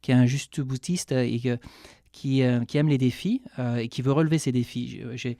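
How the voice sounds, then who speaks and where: A man's voice: mid-range voice, steady tone, moderate pace, dark tone, no room sound, studio quality. A man from France with a French accent, a chef answering radio interview questions candidly, with many hesitations and restarts.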